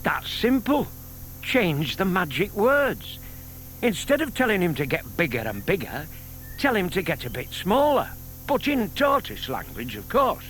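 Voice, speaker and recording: An actor playing a shy, lovestruck older British gentleman in an audio drama, polite, old-fashioned and eager. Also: high voice, huge pitch swings, unhurried, a little hiss or hum, heavily acted, poor audio. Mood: reassuring.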